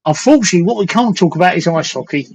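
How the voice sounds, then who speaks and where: A man's voice: high voice, lively intonation, racing, darkish tone, a little hiss or hum. An older man from London's East End with a Cockney accent, hosting a casual livestream chat and hammering his points home with "right?". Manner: emphatic, repeats points with "right?". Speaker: livestream host.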